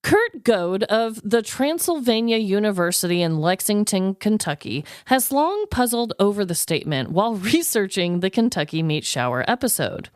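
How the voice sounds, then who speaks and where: A woman co-hosting a podcast, chatting and reading stories aloud in an animated, exclaiming way. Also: mid-range voice, normal speed, few pauses, clear, resonant tone, no echo, clean studio sound. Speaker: podcast co-host.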